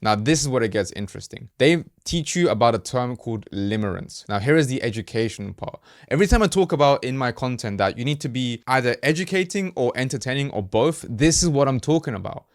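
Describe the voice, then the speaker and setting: A Spanish-native man from Colombia hosts a casual explainer, talking straight to the viewer in an easy conversational way. He drops into quick mock-voiced asides now and then. His voice sits at a middle pitch and the sound is dry and close, with no room echo. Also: lively intonation. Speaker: host, ad creative marketer. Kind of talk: casual explainer talk to camera.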